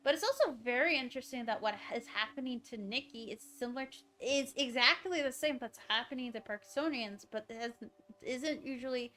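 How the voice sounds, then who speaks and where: A woman's voice: on the high side, fairly quick, bright, forceful tone. A woman livestreaming a game, giving casual commentary and thinking aloud about the story in a musing way.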